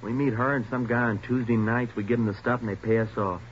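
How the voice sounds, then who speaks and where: A man's voice: mid-range voice, fairly even intonation, fast talker, lightly acted. A sullen young American gang leader acted by a man in a 1940s radio play. He is evasive, defensive and agitated, heard through a muffled old recording with faint noise. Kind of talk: 1940s radio drama acting.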